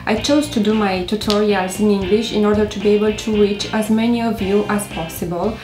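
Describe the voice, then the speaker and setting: A woman in her thirties from Romania, speaking English with a Romanian accent, gently hosting a beauty tutorial to camera with frequent hesitations. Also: medium pitch, fairly even intonation, a little fast, reverberant, music in the background, poor audio. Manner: gentle, careful delivery with many hesitations. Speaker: beauty vlogger. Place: indoors by a stone wall.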